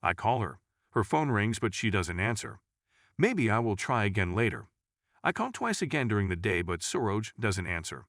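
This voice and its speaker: A male narrator reads a scripted crime story in a low-pitched voice. His delivery is dramatic, with lively swings in intonation. The sound is dry and close, with no room to it. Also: normal speed, dry recording. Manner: dramatic storytelling, acts out dialogue.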